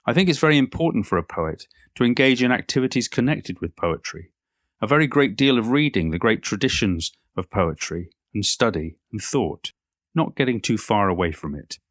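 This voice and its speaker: Audio drama monologue: actor as older Cornish male poet, modest and wry, lowish voice, literary measured delivery, dry sound. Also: expressive, no echo, natural voice. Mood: reflective, earnest. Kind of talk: audio play monologue, reminiscing.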